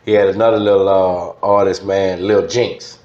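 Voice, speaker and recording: A man's voice: sits low, level tone, normal speed, a little mellow. A middle-aged man with a Southern US accent reminiscing casually as an interview guest, slangy and repeating short phrases. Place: indoor studio desk. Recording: echoey, dull lo-fi studio sound.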